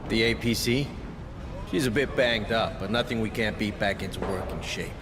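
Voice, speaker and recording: A man's voice: on the low side, moderate pace, noisy background, natural voice. A man playing a practical, confident marine sergeant who fixes vehicles, in a video game cutscene.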